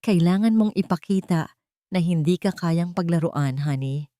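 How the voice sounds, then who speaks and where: A woman voicing a cunning, manipulative wife who feigns tender concern, soft and coaxing, in an audio story. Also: fairly low pitch, normal speed, no room sound, studio quality, lightly acted. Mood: goading. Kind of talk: dramatic audio story dialogue.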